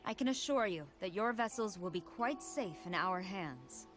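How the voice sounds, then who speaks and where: A woman's voice: medium pitch, animated, normal speed, natural voice. Actress doing a stern army commander lady from a game, formal n authoritative, faint music under it. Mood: stern, businesslike. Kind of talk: scripted video game dialogue.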